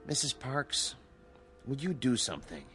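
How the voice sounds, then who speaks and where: Give me a composed doctor with an expressive, animated delivery. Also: male voice, medium pitch, a little slow, soft background music. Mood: firm. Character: calm authoritative male doctor. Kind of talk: radio drama acting.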